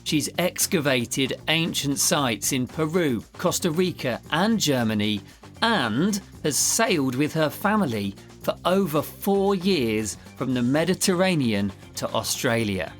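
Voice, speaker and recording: A man's voice: a little high, big pitch swings, measured pace, fairly bright tone, soft background music. A man hosting a podcast, moving between scripted narration and interview talk as an adventure novelist.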